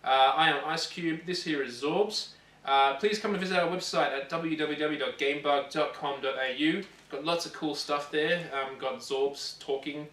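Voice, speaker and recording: Bright-voiced man in a roomy space. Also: a little high, steady tone, moderate pace. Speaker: video show co-host. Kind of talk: casual chat about games and films. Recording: roomy, distant mic, some ambient noise.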